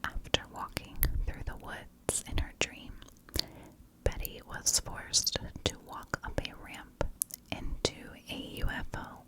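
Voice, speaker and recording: A woman telling a story as whispered ASMR narration, hushed and in short phrases. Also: slow pace, low-quality recording. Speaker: ASMR true crime storyteller, channel host.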